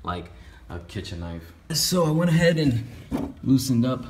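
A man's voice: medium pitch, very animated, unhurried. A man giving a casual step-by-step how-to while working on a car in a repair shop garage. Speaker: auto mechanic. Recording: echoey garage with faint noise.

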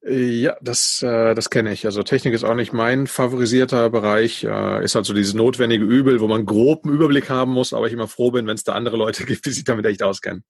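A man hosting a podcast interview, relaxed and conversational, asking follow-up questions. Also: medium pitch, a little fast, creaky, hardly any pauses, breathy edge, dry recording. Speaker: podcast host.

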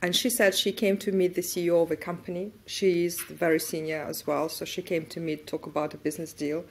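A woman in her forties speaking as a guest on a conference stage, animated as she makes her points. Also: fairly low pitch, brisk. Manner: animated, gestures as she talks. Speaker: panel guest. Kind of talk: fireside chat on stage. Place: conference stage before an audience. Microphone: lapel mic.

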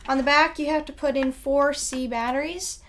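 A young woman co-hosting a casual product review at a home desk, her voice a little raspy from just waking up, chatty with many fillers. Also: steady tone, moderate pace, fairly bright tone. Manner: chatty, mock infomercial pitch, many fillers. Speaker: co-host.

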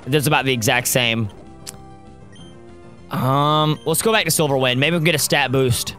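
A young man with a worn, raspy voice commenting on his own gameplay, close to a studio mic at a home setup and cheering on his team loudly. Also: mid-range voice, normal speed. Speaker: gaming YouTuber. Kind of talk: Let's Play commentary. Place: home gaming setup, indoors. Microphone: studio mic close up, seen. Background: faint game music.

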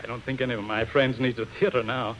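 An actor playing a polite, anxious middle-aged gentleman magnate in hesitant 1930s radio style. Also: medium pitch, flat delivery, quick pace, close to an everyday voice. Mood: regretful. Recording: dull, noisy 1930s radio broadcast.

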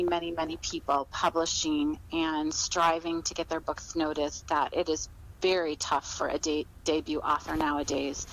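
A woman with an American accent speaking as an author in a podcast interview, explaining things in a measured, conversational way. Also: fairly low pitch, a bit bright, slight background noise, rough recording.

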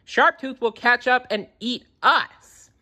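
A narrator voicing a boastful, defiant young female horned dinosaur in a storybook, loud and exclaiming. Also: medium pitch, slow, voice pushed up. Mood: indignant.

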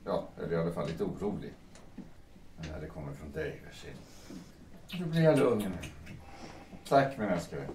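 A man playing a calm, sensible bourgeois gentleman in an audio drama, soothing and a little hesitant. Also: low voice, pauses often. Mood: mildly wry. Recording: distant, echoey room with faint noise.

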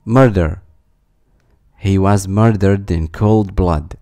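A man with a low, mellow voice reads a vocabulary list at a very slow, deliberate pace. He says each word twice, then reads an example sentence. The sound is dry and close. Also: no room sound. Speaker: vocabulary reader.

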